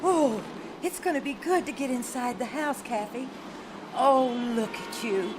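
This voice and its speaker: A woman's voice: high-pitched, lively intonation, measured pace, noisy background. A woman playing an anguished, protective caretaker of a grieving little girl in an old-time radio drama, earnest and emphatic. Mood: tender.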